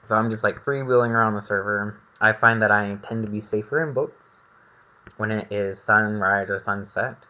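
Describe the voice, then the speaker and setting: A man's voice: on the low side, steady tone, moderate pace, sharp tone. A man doing casual gameplay commentary, rambling and thinking aloud as he goes. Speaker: gaming video host.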